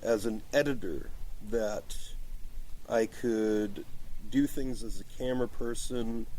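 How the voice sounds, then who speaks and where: A man's voice: fairly low pitch, slow pace, warm tone, slight background noise, rough recording. A man in casual conversation as a podcast guest talking about film work, slightly slurring his words, recorded outdoors on a porch. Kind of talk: casual chat.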